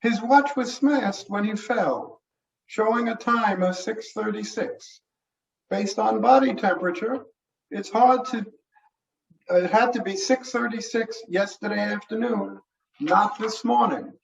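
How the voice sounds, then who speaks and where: Man reading as a brisk female medical examiner, roomy sound. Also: sits high, vocal fry, voice pushed up. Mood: matter-of-fact. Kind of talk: mystery short story reading. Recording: roomy, muffled, distant mic.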